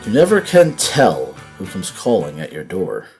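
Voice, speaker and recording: Very deep-voiced man narrating horror. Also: wildly swooping pitch, unhurried, a little mellow, faint music behind. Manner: suspenseful, emphatic. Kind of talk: dramatic scripted horror storytelling.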